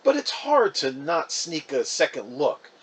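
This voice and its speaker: A man giving an expressive first-person reading of a children's book, as an actor narrating. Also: on the high side, measured pace, slightly rough.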